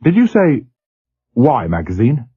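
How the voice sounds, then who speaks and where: A man's voice: medium pitch, lively intonation, takes it slowly, slightly rough. A man voicing an older male ship's captain, worn but commanding, giving clipped, urgent orders. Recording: dull lo-fi recording.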